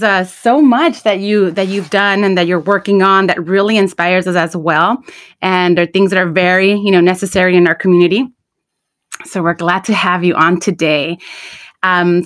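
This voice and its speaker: A woman hosting a podcast, introducing a guest and asking measured, friendly questions. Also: mid-range voice, fairly bright tone. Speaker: podcast host.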